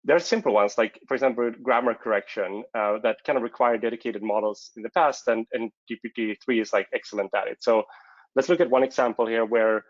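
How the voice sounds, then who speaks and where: A man's voice: mid-range voice, steady tone, a little fast, dry recording, dull audio. A man whose first language is Swedish, presenting a technical talk at a conference and hesitating often with fillers and restarts.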